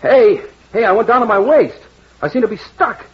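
A naive, anxious young bridegroom in an old radio play. His high voice swings widely as he hesitates and pleads. The recording is dull, with some noise. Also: animated, moderate pace. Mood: nervous, hesitant. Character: naive, timid young bridegroom in mortal peril. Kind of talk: 1940s radio acting. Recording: muffled, noisy old radio broadcast.

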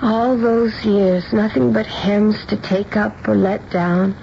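An actress playing a dramatic young bride-to-be daughter in a radio drama, expressive and emphatic in delivery. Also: female voice, mid-range voice, slow, creaky. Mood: resigned, softening. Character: dramatic young bride-to-be daughter, petulant but soft-hearted. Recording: dull, noisy old radio recording.

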